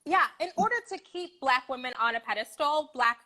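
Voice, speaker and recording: She is an American woman with a very high-pitched, bright and forceful voice. She talks casually as the host of an opinionated discussion. She is frank and emphatic, peppering her points with swearing and mimicked quotes. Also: expressive, brisk. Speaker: host of a live discussion show. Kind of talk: casual opinionated talk with callers.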